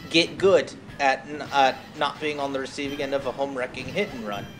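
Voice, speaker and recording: A man chatting casually as he streams a game, telling stories haltingly and drifting off on tangents, with some noise in the recording. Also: medium pitch, steady tone. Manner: halting, tangent-prone storytelling. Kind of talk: casual rambling chat over gameplay.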